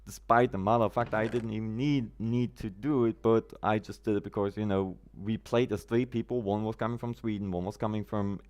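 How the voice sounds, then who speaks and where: A man's voice: on the low side, fairly even intonation, fairly quick, hardly any pauses, a little mellow. Chatty man streaming a game. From Germany. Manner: chatty, greets viewers by name, quirky roundabout phrasing. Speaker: live streamer. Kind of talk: casual gameplay live stream chat.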